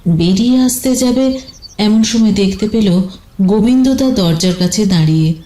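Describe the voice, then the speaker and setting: A woman's voice: medium pitch, moderate pace, mellow. Echoey woman story narrator. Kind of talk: scripted dramatic story narration.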